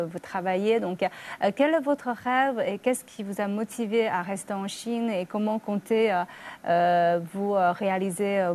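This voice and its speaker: A woman's voice: medium pitch, darkish tone. A woman interviewer moderating an informal panel, conversational, with some hesitation as she puts questions to guests.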